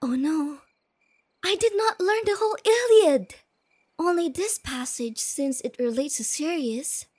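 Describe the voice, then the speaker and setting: A woman playing a shy, nerdy, sweet young girlfriend in a soft-spoken, intimate ASMR roleplay. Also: sits high, lively intonation, measured pace, dry recording. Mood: shy, excited. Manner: gentle, intimate, enthusiastic about explaining facts.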